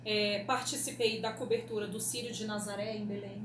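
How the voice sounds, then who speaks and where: A woman's voice: mid-range voice, level tone, moderate pace. Brazilian woman in an echoey, noisy room. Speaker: radio producer. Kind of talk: interview answers, reflective casual talk. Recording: echoey room, clear background noise.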